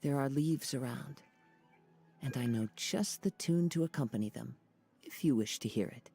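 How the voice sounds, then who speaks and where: A man voicing a gentle, wistful young wandering swordsman in a video game, speaking in a soft, measured way. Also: mid-range voice, animated, creaky, slightly rough. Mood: wistful, calm. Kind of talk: video game character acting.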